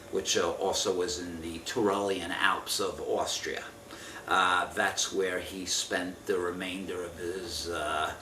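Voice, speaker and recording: A man in his fifties recounts family history in an interview with a deep voice that has a clear forward edge. He speaks in a measured, halting way, picked up from a distance in a roomy space. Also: sharp tone. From Israel. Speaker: interviewee giving family testimony. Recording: roomy, distant mic with faint noise.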